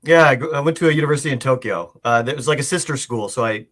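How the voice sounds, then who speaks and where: Male American guest, crisp bright voice, conversational and informal. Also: mid-range voice, racing. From Wisconsin, United States. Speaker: guest on a live show. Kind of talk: casual chat.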